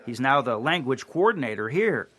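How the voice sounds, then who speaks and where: A man's voice: mid-range voice, big pitch swings, normal speed, a bit bright. A man narrating a local TV news feature in a scripted reporter style.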